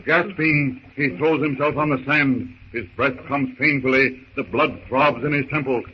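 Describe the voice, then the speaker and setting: A man's voice: mid-range voice, steady tone, normal speed, highs cut off, slight background noise. A man narrating a 1930s radio adventure serial in a theatrical, urgent manner with emphatic short phrases, on an old radio recording.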